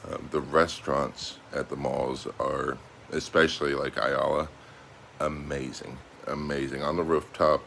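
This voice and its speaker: A man's voice: a bass voice, flat delivery, measured pace, gravelly, fairly bright tone, slight background noise. A middle-aged American man speaking casually and unscripted, as a vlogger talking to his viewers. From United States. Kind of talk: casual vlog monologue.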